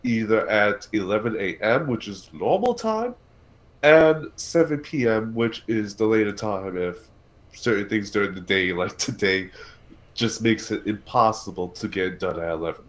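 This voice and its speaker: A man hosting a casual podcast livestream about films and games, talking haltingly and repeating words as he thinks aloud. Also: on the low side, animated.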